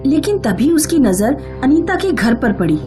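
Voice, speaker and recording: A woman's voice: high voice, sing-song, moderate pace. A woman narrating a scripted horror story in a dramatic style. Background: background music.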